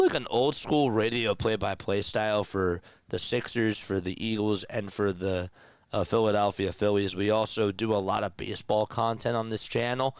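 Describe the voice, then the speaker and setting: Man, casual live basketball play-by-play, slightly raspy and nasal from a sore throat, smooth resonant tone, dry close mic. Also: fairly low pitch, dry recording. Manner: conversational, reads and answers chat comments. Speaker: play-by-play commentator.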